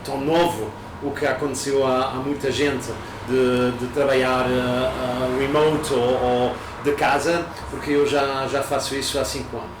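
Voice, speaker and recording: A man's voice: mid-range voice, little pitch movement, a little slow, few pauses. A German man speaking Portuguese as a guest, hesitant, with many fillers and restarts. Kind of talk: casual interview chat. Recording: echoey room, distant mic, noisy.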